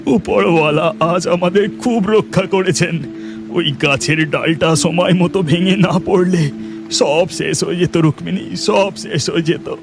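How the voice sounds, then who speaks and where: Casting: man as excitable cartoon fisherman, high male voice, urgent and dramatic, music underneath. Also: measured pace. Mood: relieved, grave. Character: excitable village fisherman devoted to his cow.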